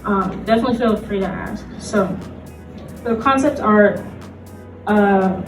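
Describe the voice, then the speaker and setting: A woman's voice: medium pitch, measured pace. A woman giving an informal convention panel presentation in a casual, hesitant, conversational way. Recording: roomy, distant mic, loud background music.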